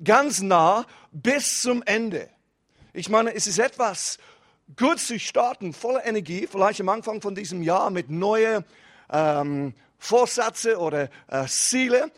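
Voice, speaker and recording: A man's voice: high-pitched, lively intonation, takes it slowly, a bit bright. A man preaching a casual sermon as a visiting pastor, speaking with a foreign accent as a non-native German speaker and mixing in English words. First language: not German. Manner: casual, mixes English words, rising and falling emphasis. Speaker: guest pastor.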